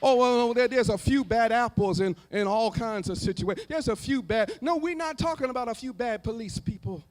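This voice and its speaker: An American man preaching an impassioned sermon at a live event, emphatic and rhythmic, building on repeated phrases and moving between hushed lines and shouted, half-sung cries. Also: very high voice, brisk, hardly any pauses. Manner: emphatic, rhythmic repetition for rhetorical effect. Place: live public event before a gathering.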